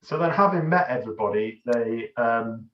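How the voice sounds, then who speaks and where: A man talks casually as a podcast co-host in a roomy, reverberant space. His delivery is halting and wry, with a middling pitch, and the sound is a little dull. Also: expressive. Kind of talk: casual film discussion. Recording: slightly dull, echoey room.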